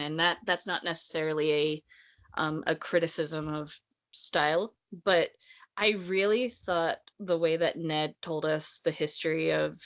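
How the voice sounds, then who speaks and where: Female co-host, lower register, opinionated and sarcastic, conversational book chat. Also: fairly bright tone. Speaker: podcast co-host discussing a novel. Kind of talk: casual opinionated book discussion.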